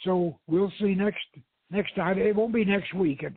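Male storyteller-host, high and husky, heard through a narrow phone line with room echo. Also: fairly even intonation, a little slow. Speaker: host reading aloud his own novel. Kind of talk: dramatic reading and informal hosting. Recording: thin phone-like radio line, roomy echo.

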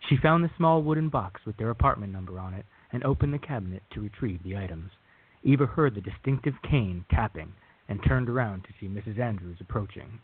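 A man reading scripted narration for a radio drama, with a husky voice. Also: lowish voice, lively intonation, a little fast. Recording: dull lo-fi recording.

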